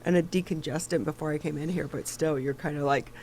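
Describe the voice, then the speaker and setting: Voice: medium pitch, fairly even intonation, fast talker, darkish tone, a little hiss or hum. Stuffy-nosed talk show host. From Michigan. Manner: chatty, with frequent fillers and restarts. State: stuffy nose. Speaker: news program host. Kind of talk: casual news discussion.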